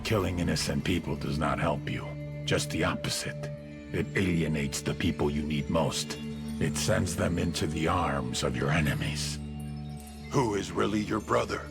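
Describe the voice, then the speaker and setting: Gravelly, very deep cartel boss. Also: male voice, moderate pace, over loud music. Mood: cold, admonishing. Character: brooding, menacing, proud male cartel boss. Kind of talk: dramatic scripted narration and dialogue.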